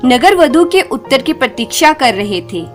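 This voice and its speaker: A woman reads a scripted moral tale in a high, very lively voice. She is unhurried and expressive, letting the melody rise and fall over each sentence. Music plays clearly behind her. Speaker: storyteller. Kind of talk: scripted Hindi folk-tale narration.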